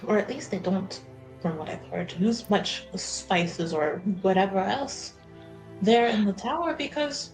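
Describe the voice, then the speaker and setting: A woman's voice: medium pitch, a little slow, darkish tone, soft background music, rough recording. A woman improvising casual, slangy in-character banter as a player in a tabletop role-playing game. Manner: slangy, informal.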